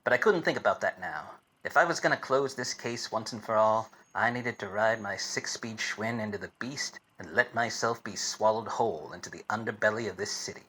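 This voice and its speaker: An actor playing a self-serious, obtuse private eye in a parody of 1940s detective radio plays, with melodramatic hard-boiled delivery. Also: male voice, fairly low pitch, fairly quick, few pauses, sharp tone, slight background noise, rough recording. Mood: brooding. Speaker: narrator and lead of a radio play. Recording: music under the voice.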